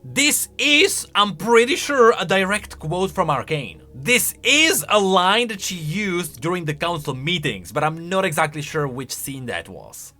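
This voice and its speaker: A man's voice: on the high side, pushed and bright. A man giving casual, conversational video game lore commentary, thinking aloud and posing questions. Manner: conversational, speculative, rhetorical questions. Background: faint music.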